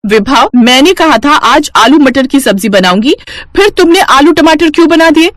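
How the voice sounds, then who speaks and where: Bickering young daughter-in-law, played by a woman, raised pitch, shrill, argumentative, moral-story casting. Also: animated, normal speed, fairly bright tone, dry recording.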